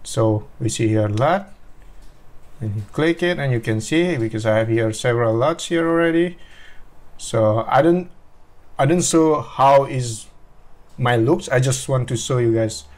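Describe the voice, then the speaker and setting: A young man whose first language is Indonesian, talking through his editing in a casual, halting home tutorial, often correcting himself. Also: medium pitch, a little mellow. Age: young adult. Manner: halting, self-correcting, apologetic. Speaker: drone video creator. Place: small room at home, washing machine running.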